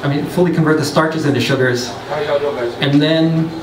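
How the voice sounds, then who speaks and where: A man's voice: on the high side, fairly even intonation, normal speed, far from the mic, crowd noise. Man, brewer, pub science talk, chatty and joking, roomy hall sound. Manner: loose and chatty, joking asides, frequent restarts. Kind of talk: casual lecture to a pub audience. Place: pub with an audience. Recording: roomy, off-mic, loud background.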